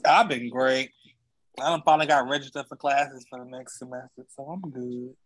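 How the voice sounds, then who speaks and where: A man's voice: mid-range voice, steady tone, normal speed. A young man with an American accent chatting casually as a podcast guest. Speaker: podcast guest, voice cast member. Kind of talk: casual chat.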